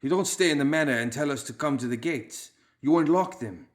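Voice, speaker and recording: A man's voice: on the high side, expressive, a little fast, pitched above the performer's own voice, natural voice. A man voicing a curt, growling male leader with a hard Balkan accent. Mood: stern.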